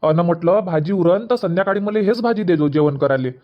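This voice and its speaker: A man's voice: sits high, brisk, muffled recording, lightly acted. A man voicing an easygoing young husband in a comedy cartoon, who reassures his wife and keeps finding excuses. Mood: coaxing. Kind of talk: Marathi comedy cartoon dub.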